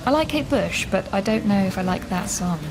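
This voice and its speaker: A woman playing a talkative woman in her thirties, chatty and quick to laugh, with a voice of middling pitch. She rambles a little as she talks, in a radio drama recording with steady noise behind her. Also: lightly acted. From Leeds and Bristol. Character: chatty woman living in London, warm and talkative.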